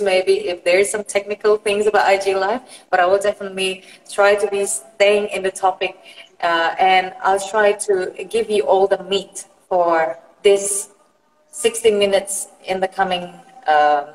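A woman's voice: mid-range voice, little pitch movement, a little slow. A woman from Indonesia in her thirties talks into a phone held at arm's length. She explains at length, earnestly, restarting her sentences and filling gaps with "um". The room rings with a long echo. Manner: rambling, earnest, many fillers and restarts. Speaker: guest psychologist. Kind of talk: explaining on a live video call. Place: bright room indoors.

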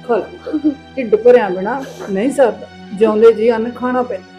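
A woman playing a kindly middle-aged aunty in an emotional drama, coaxing and soothing with gentle insistence. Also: a little high, normal speed, lightly acted. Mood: comforting, indignant. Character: kindly, motherly older aunty comforting a distressed young woman. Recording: music behind the voice.

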